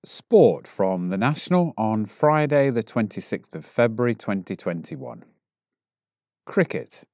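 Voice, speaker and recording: A man with a fairly low voice reads a newspaper article aloud in a measured manner. The recording is a dry, slightly muffled home setup with little room sound. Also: darkish tone, no room sound. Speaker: volunteer newspaper reader.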